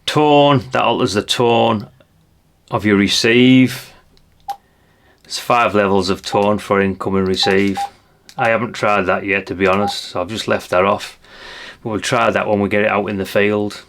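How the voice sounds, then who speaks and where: A man's voice: fairly low pitch, fairly even intonation, moderate pace, a bit bright, slight background noise. An older man giving a casual hands-on product demonstration, chatty and unscripted, thinking aloud as he goes. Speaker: presenter of a radio gadget review channel.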